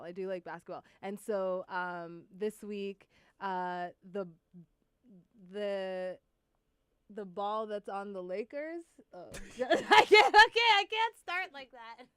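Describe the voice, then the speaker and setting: Wry woman with a smooth voice. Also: medium pitch, animated, slow, fairly bright tone. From Jamaica. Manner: wry, laughs easily, reads comments aloud. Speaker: co-host reading viewer comments. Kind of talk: casual news chat.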